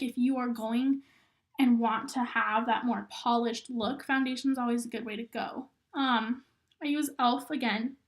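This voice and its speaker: Chatty young woman, hoarse from illness. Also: fairly high pitch. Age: young adult. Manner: chatty, filler-heavy, lots of "um" and "like". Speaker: rodeo queen giving makeup tips. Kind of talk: casual tutorial vlog.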